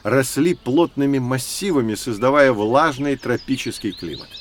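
A man's voice: medium pitch, lively intonation, lightly acted. A man playing a kindly, learned professor who explains things playfully in an educational audio drama. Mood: explanatory. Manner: explanatory, gently playful, measured. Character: kindly, learned male professor guiding children through prehistory.

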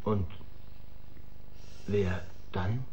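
An actor playing a cowering, self-pitying writer who stammers evasively and whines in a radio drama. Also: male voice, sits low, steady tone, very slow, crowd noise. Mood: nervous.

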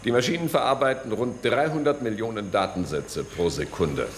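An actor playing a proud, officious control room guide in a radio play, explaining things in formal bureaucratic language. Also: male voice, fairly low pitch, moderate pace, slight background noise, close to an everyday voice. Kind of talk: German radio play acting.

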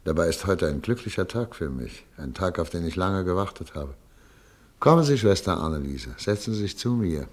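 A man playing a weary older exiled doctor in a radio play, speaking with quiet, deep resolve. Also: lively intonation, normal speed. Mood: lonely, warm. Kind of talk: 1950s radio play acting.

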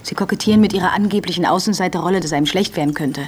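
A self-assured female critic, acted by a woman with a fairly low voice. She talks in a brisk, teasing, combative way, in a radio play scene with faint ambient noise behind her. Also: lightly acted. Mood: scornful. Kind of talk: German radio drama acting.

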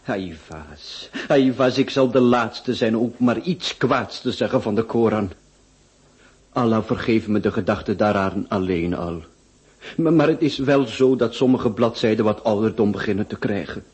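A man's voice: lowish voice, measured pace, a little mellow, slightly rough. An actor with a Flemish accent playing a cautious, placating Ottoman embassy official in a radio play, reasoning and hesitant. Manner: reasoning, hesitant, repeating words. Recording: dull lo-fi radio recording.

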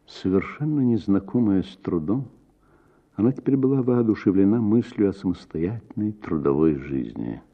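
A man reading a literary short story as an actor, with expressive, theatrical phrasing. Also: fairly low pitch, normal speed, slightly rough, lo-fi sound. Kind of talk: expressive literary audiobook narration.

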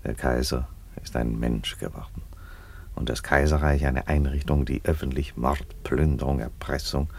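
Roman emperor in a radio play, super deep voice, middle-aged, calm and declarative, tired irony. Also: male voice, creaky, breathy edge, full character voice. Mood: dry, reasoning. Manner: deliberate, unruffled, declarative. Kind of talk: German radio play acting.

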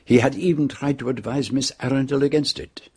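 An actor playing a courteous, shrewd Belgian detective with a French-speaking accent, formal and measured, in a radio drama. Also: male voice, medium pitch, fairly even intonation, a little mellow, breathy edge. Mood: measured, probing. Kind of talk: radio drama acting and narration.

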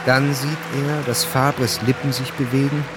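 A man narrating a historical radio drama in a measured, observant, dramatic way. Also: mid-range voice, flat delivery, over loud music, a loud crowd, natural voice. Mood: sober, observant. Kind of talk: scripted literary narration.

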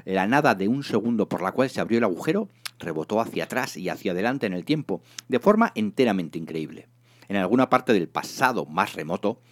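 A man narrating a comic story in a theatrical, expressive scripted reading. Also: lowish voice. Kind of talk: dramatised comic audiobook narration.

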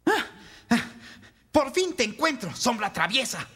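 A woman plays a spirited storybook boy adventurer. The voice is very husky and swoops dramatically up and down, inside a long reverb. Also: on the high side, very animated, unhurried, sharp tone, exaggerated voice. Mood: triumphant. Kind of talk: children's storybook reading.

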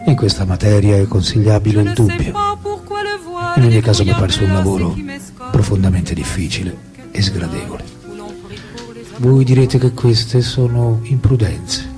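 An actor as candid, low-voiced philosopher-lover, music behind. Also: male voice, moderate pace, pauses often, lightly acted. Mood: tender, confessional. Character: French philosopher-writer reminiscing and reading his love letters, candid. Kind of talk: theatrical radio drama monologue.

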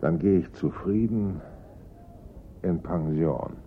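An actor playing an ambitious, bossy French police inspector in a German radio drama, speaking in a grandiose, commanding way. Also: male voice, lively intonation, slow, vocal fry, loud music behind the voice, heavily acted. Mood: grandiose, confident. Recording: dull, rumbling old radio recording.

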